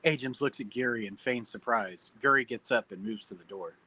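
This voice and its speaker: A man's voice: medium pitch, fairly quick, pushed and bright, slight background noise. A man narrating stage directions from a script at a table read.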